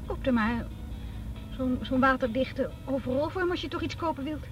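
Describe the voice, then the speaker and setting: A defiant young runaway girl acted by a woman in a radio play. Her voice is high, stubborn and insistent, with halting repeats. It sounds slightly dull and set back from the mic. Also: soft background music, close to an everyday voice. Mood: sullen, defensive. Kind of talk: radio drama acting. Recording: dull radio play, distant, faint noise.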